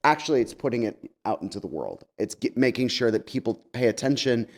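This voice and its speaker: A man's voice: mid-range voice, big pitch swings, fast. A man giving a conversational message to a congregation as a guest speaker, chatty and wry, with campy slang.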